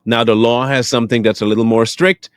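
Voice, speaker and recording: An American man giving off-the-cuff commentary, conversational and deliberate, stressing key words as he reasons things out. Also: medium pitch, fairly even intonation, no room sound. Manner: deliberate, emphatic, asks and answers own questions. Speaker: online commentator. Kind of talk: off-the-cuff news and political commentary.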